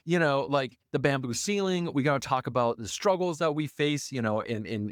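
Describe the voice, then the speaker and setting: A man's voice: on the high side, no echo, studio quality. A man born in Taiwan, hosting a solo podcast in a casual, conversational way with many fillers and restarts. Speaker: podcast host and author.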